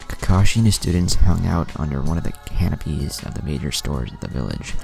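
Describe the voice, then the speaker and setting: A man's voice: a bass voice, sing-song, fairly quick, creaky, a little mellow. A man narrating a dramatic fan fiction story as a scripted reading. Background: music.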